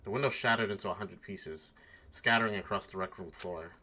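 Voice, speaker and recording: Guy doing a nervous earnest schoolboy narrating a visual novel, kinda low but bright, dramatic. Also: a little fast, a little hiss or hum. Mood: tense narration. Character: earnest, anxious teenage schoolboy narrating his own mystery. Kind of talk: first-person visual novel read-aloud.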